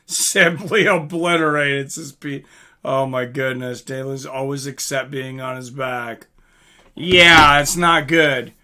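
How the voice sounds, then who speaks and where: Bright-voiced man calling a fight casually. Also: medium pitch, steady tone, slow pace. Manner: informal, conversational. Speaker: livestream fight commentator. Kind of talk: casual live fight commentary with chat asides.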